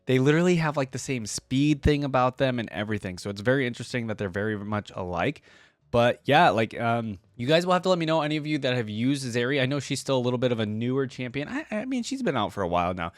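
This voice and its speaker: A man's voice: medium pitch, animated, quick pace, no echo. Casual man reacting as he watches. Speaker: reaction video host. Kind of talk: casual commentary while watching game videos.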